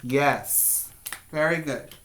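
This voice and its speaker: A male preschool teacher with a very slow, deliberate delivery and a mid-range pitch. He addresses young children in a simple, instructive way, as in a read-aloud lesson, leaving space for them to answer. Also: a bit bright, slight background noise. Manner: instructive, asks questions and pauses for answers.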